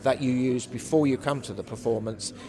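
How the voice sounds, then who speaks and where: Man, medium pitch, conversational, explanatory, measured, interviewee, noticeable crowd babble. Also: fairly even intonation. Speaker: panellist, academic interviewee. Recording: busy venue, people chattering behind. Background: crowd chatter.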